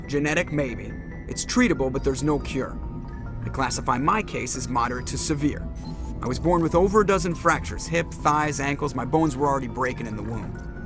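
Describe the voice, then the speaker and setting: An actor playing a cocky, defensive young starship pilot in a video game, informal and boastful. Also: male voice, on the high side, creaky. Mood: defensive, matter-of-fact.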